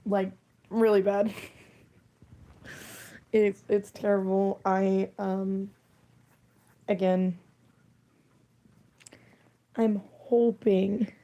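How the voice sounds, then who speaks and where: Hoarse, gravelly-voiced woman streamer. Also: mid-range voice, level tone, extremely slow, long pauses, dark tone, muffled recording. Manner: rambling, giggly, full of fillers. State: hoarse, losing her voice. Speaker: livestreamer. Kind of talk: casual live-stream chat.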